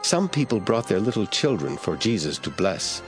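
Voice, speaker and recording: A man narrating scripture from a script in a measured, formal storytelling manner. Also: lowish voice, very animated, music in the background.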